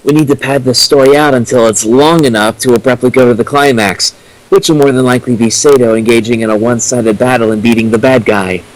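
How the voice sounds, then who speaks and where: Male co-host, medium pitch, giving critical commentary in a dry, sardonic way. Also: fairly even intonation, quick pace, rough recording. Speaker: co-host commenting on fan fiction.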